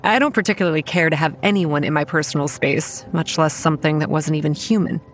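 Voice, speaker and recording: An actress playing a wary, anxious young campground manager who narrates a horror audio drama in the first person. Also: female voice, deep voice, fast, slight background noise, lightly acted. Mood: wary narration.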